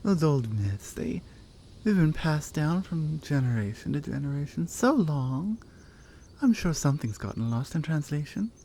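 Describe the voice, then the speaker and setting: A woman's voice: sits low, big pitch swings, mellow, slight background noise, full character voice. A woman playing a strong, teasing Nordic warrior in an ASMR roleplay, with a Nordic accent and a dry, playful, skeptical manner. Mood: proud, earnest. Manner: playful, dry, conversational with pauses. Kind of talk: ASMR roleplay audio drama.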